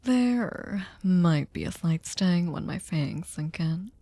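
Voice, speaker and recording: A woman playing a sadistic, seductive vampire mistress in an ASMR roleplay, commanding and condescendingly tender, breathy and often whispering. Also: lowish voice, lively intonation, slow pace, warm tone, dry recording, exaggerated voice. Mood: hungry, gloating. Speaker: ASMR voice performer. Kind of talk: ASMR roleplay, slow intimate monologue. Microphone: close studio microphone, dry.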